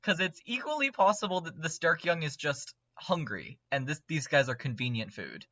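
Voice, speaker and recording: A man with a very bright, forceful voice chats casually as a podcast guest. He is excitable and giggly, often mock-serious. His microphone sounds close, dry and a little dull. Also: fairly high pitch, normal speed, dry recording. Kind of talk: casual chat.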